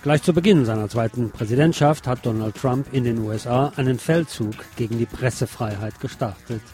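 A man's voice: medium pitch, brisk, mellow, faint music behind. A man presenting on radio, reading a written report aloud.

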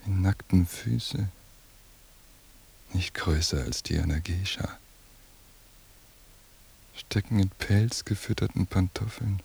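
An actor playing a cold, contemptuous surveillance watcher in a radio drama monologue, with a detached, deliberate delivery and a husky voice. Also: male voice, deep voice, heavily acted. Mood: cold disgust. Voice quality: gravelly, dark, husky. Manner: slow, flat, detached, deliberate. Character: cold, contemptuous male surveillance watcher describing his target.

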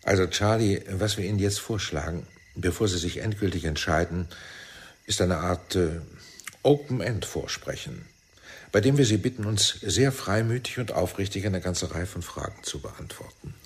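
A persuasive spy boss in a radio drama, low voice, bit raspy, deliberate and pushy. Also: male voice, animated, heavily acted. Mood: smooth, persuasive. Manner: deliberate, rhetorical, insistent. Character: shrewd, persuasive male Israeli intelligence team leader. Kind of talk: German radio play acting.

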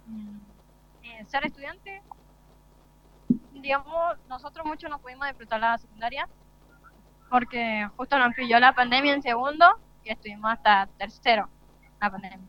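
A woman's voice: a little high, normal speed, long pauses, dull audio. A teenage young woman with an Argentine accent giving hesitant, unscripted answers as a student being interviewed. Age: teens.

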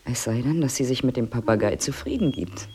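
An actress playing a bitter, sardonic wife in a radio drama, putting pointed questions with dry sarcasm. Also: female voice, very deep voice, animated, fairly quick. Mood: bitter, sarcastic. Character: jilted wife, sardonic, bitter, middle-aged. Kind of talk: German radio drama acting.

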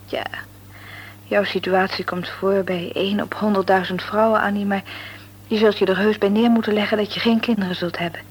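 An actress playing a caring, chatty young friend in an old Dutch radio play. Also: female voice, mid-range voice, steady tone, fairly quick, husky, lightly acted, poor audio. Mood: gentle, serious.

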